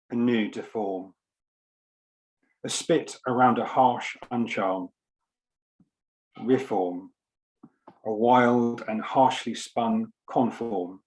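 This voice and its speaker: A man with a mid-pitched voice and a slow pace, speaking as a poet at an online literary event. His delivery is measured and deliberate, broken into short phrases with frequent pauses. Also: poor audio. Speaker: poet and co-author at a book launch. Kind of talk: informal explanation and poetry reading. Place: online event, on a video call.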